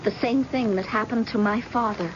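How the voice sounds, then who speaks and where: An actress playing a grave, bitter, guarded grown daughter in old-time radio drama, speaking in a deliberate, solemn way. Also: female voice, on the high side, lightly acted. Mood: somber. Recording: dull, noisy old radio broadcast.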